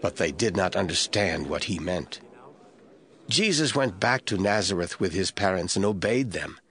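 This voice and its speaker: Low-voiced man narrating scripture. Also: expressive, fairly bright tone, breathy edge. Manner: measured, storytelling.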